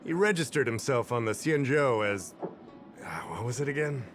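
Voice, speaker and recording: An actor voicing a relaxed, authoritative male official in a video game, confident and lightly humorous. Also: medium pitch, moderate pace, lightly acted. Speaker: commander of an investigation. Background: game music.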